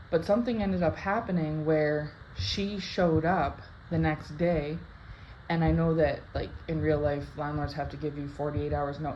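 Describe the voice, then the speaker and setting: A woman casually interpreting a dream for her viewers, her voice slightly raspy from just waking up, rambling with many "like" and "right?" tags. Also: low-pitched. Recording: dull lo-fi sound with faint background hiss.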